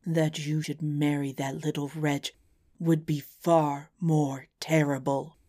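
A woman voicing a lovelorn, noble storybook king who pleads earnestly in a drawn-out, melodramatic way. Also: deep voice, little pitch movement, dark tone. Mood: anguished. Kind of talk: storybook character voice.

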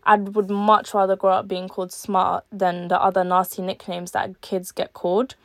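A teenage woman reads her solo podcast script in an earnest, measured way. Her voice sits at a middling pitch and often drops softer at the ends of lines. The sound is dry and close, with no room around it. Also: level tone, no echo. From born in Algeria, raised in East London. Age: late teens. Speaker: podcast host.

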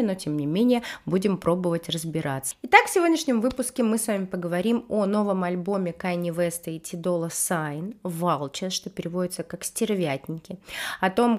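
A woman's voice: fairly low pitch, darkish tone. A woman hosting a music news podcast in a casual, slangy way, her voice nasal from a cold, swearing freely.

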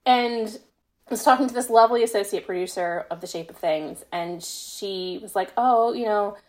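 A woman's voice: mid-range voice, normal speed, poor audio. Young adult woman, American, podcast guest, casual storytelling, self-deprecating. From United States. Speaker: podcast guest, fan fiction writer. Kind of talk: casual chat, personal anecdotes.

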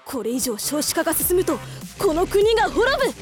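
Female actor as breezy official hostess; very high, lively, theatrically polite announcing for radio drama. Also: normal speed, soft background music. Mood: grand narration. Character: breezy, officious government discussion-room moderator woman. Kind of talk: radio drama narration and acting.

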